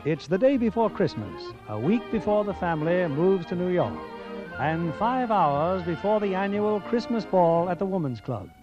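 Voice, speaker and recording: A high-voiced man narrates in theatrical old radio style. The sound is dull and vintage, with violin music behind his formal announcing. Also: big pitch swings, moderate pace. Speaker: radio drama host and narrator. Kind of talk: scripted 1940s radio narration.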